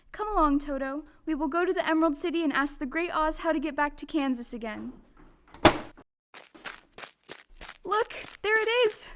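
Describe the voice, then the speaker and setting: Earnest little farm girl, played by a woman, very high voice, polite, wide-eyed, stage audio play. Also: close to an everyday voice. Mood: excited, determined.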